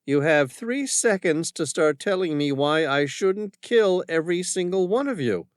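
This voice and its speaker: Man playing a cold armed woman, calm and conversational, slightly raised voice, dry close sound. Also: high voice, measured pace, smooth, dry recording, voice pushed up. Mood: menacing.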